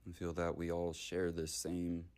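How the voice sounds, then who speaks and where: A man leading a guided meditation in short, unhurried, soothing phrases with long gaps between them. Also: sits low, monotone, darkish tone, clear, resonant tone.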